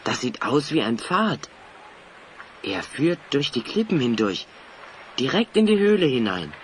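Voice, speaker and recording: Bold confident adventure leader, very lively delivery, kids audio play, bit of tape noise. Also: male voice, medium pitch, unhurried. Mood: determined. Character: bold, confident male adventurer leading his friends. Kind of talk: German children's audio drama acting.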